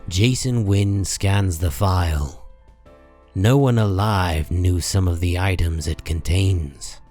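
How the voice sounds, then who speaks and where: A man narrating a dark comic book drama in a measured, solemn, deliberate way. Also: deep voice, faint music behind.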